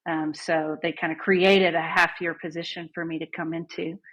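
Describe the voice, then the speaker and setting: Husky-voiced woman in her forties. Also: low voice, flat delivery, moderate pace, a bit bright, muffled recording. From Southeast Texas. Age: 40s. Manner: chatty, upbeat storyteller. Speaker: guest band director. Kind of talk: casual podcast interview.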